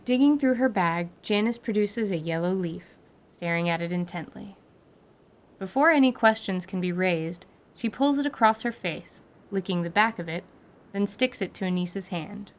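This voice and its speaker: A woman's voice: mid-range voice, animated, moderate pace. A woman reading scripted narration for a fantasy audio drama.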